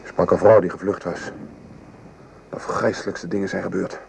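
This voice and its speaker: A man playing a weary French artillery sergeant in a radio play, gravely recounting the horrors of war. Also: sits low, level tone, normal speed, a little mellow. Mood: grim, appalled. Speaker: actor. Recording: noisy old radio play recording.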